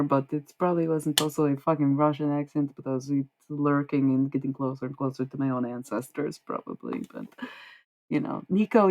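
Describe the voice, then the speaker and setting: A young woman livestreaming, chatting with viewers in a rambling, dreamy way and swearing freely. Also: extremely low pitch. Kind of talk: casual livestream chat with viewers.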